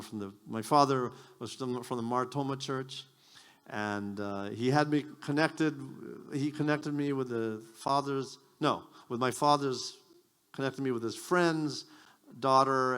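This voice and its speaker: Self-mocking stand-up comedian. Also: male voice, medium pitch, a bit bright. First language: Japanese. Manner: self-deprecating, rambling asides with stammered restarts. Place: stage at a community event.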